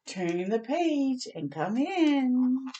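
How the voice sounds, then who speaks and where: A woman voicing welcoming storybook creatures that invite a child in, with drawn-out words. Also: sits high, very animated, warm tone, dull audio, pitched above the performer's own voice, heavily acted. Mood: inviting.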